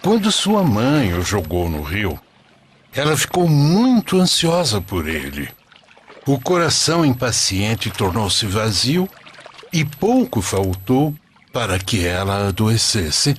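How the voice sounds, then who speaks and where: Animated storybook narrator: male actor as devout elderly grandfather, patient, lowish, slow, very expressive intonation. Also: slight background noise. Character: devout, patient old grandfather telling sacred stories.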